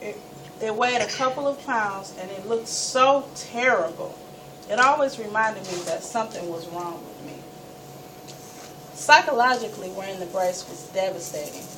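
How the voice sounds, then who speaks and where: A woman storyteller reading a first-person story aloud with dramatic, emphatic delivery and deliberate pauses. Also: medium pitch. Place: live event space, recorded from a distance. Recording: distant mic, noisy room ambience.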